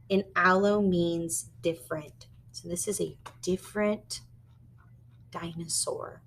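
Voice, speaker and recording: A woman reading a children's story aloud expressively and pausing to explain words like a teacher. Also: lowish voice, vocal fry, slight background noise. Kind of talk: animated children's read-aloud with word lessons.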